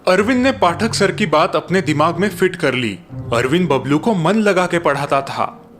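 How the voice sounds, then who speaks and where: A man's voice: fairly high pitch, expressive, normal speed, fairly bright tone, a little hiss or hum. A man narrating a cartoon story in a scripted storytelling style.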